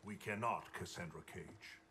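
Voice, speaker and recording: Grave ancient god for a video game, formal and commanding, forceful bright edge, low, bit of background noise. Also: male voice. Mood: grave, resolute. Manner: grave, formal, deliberate diction. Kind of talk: dramatic game cutscene acting.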